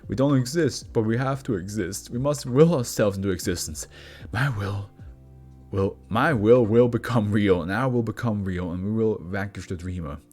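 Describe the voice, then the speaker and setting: A man's voice: on the low side, moderate pace, a little mellow, soft background music, natural voice. A man voicing a volatile condemned prisoner who is coming unravelled, speaking with agitated intensity in a story reading. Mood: fervent, unhinged.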